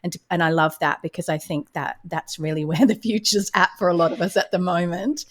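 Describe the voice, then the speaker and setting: A woman's voice: on the low side, steady tone, brisk. A woman speaking as a podcast host and interviewer. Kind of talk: scripted introduction, then conversational interview.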